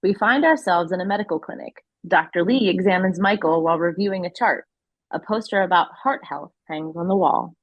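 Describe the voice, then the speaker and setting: Woman narrating an audio play, kinda raspy, lowish voice, reading scene headings. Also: brisk, no room sound, muffled recording.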